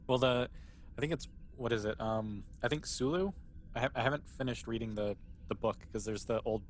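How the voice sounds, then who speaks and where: A man's voice: medium pitch, fairly quick, a little hiss or hum. A man running a tabletop role-playing game as game master in a casual, conversational way.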